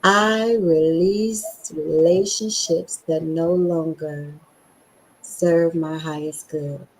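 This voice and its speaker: A woman hosting a podcast, with a scratchy voice, talking with excited emphasis and slowly repeating affirmations. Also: low-pitched. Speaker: podcast host.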